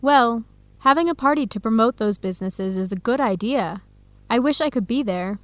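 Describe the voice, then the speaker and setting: An actress plays a hopeful young woman in an educational radio drama. Her voice sits a little high, and she delivers each line clearly and deliberately. The recording is close and dry. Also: animated, no room sound, close to an everyday voice. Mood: interested, wistful. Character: young Ethiopian-American woman exploring Ethiopia, earnest and hopeful.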